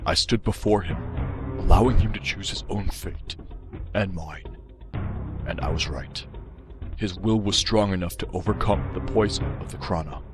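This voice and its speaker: A man playing a calm, wise, brotherly warrior in an amateur audiobook drama, reassuring and relaxed in manner. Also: deep voice, moderate pace, lightly acted. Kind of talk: amateur full-cast audiobook drama acting. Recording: loud music bed under the voice.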